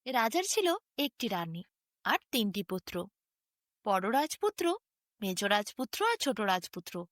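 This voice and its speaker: A woman's voice: medium pitch, big pitch swings, measured pace, a bit bright, no echo, studio quality. A woman narrating a fairy tale as a bedtime story, with a sing-song storytelling delivery. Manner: sing-song storytelling with wide rises and falls.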